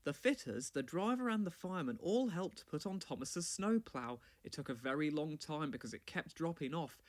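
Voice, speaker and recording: High-voiced, animated male storybook narrator. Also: moderate pace. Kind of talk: scripted children's read-along narration.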